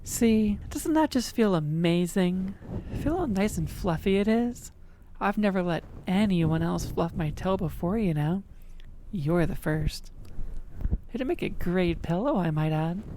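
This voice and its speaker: A woman's voice: fairly low pitch, animated, measured pace, warm tone, a little hiss or hum, rough recording. A woman playing an obsessive, boastful kitsune fox girl in an ASMR roleplay, cajoling and dramatic. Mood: smug, coaxing.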